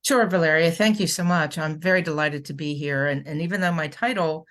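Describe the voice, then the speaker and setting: A woman's voice: low-pitched, brisk. A middle-aged woman, a university administrator, introducing herself on an online panel in a friendly, conversational way. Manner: friendly, conversational, some restarts. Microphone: video call.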